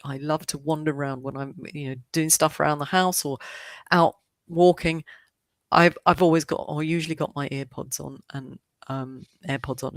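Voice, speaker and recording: A woman's voice: very deep voice, dry recording. A middle-aged woman, a native English speaker living in Switzerland, chatting as a podcast guest in an eager, rambling way with many restarts. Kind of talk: casual interview chat.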